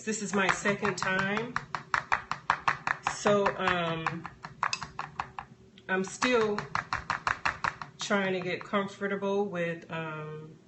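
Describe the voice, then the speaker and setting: A woman chatting casually on a live stream as she demonstrates a craft, unhurried and thinking aloud as she works. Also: mid-range voice. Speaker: soap maker hosting a live stream. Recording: background noise, live phone stream.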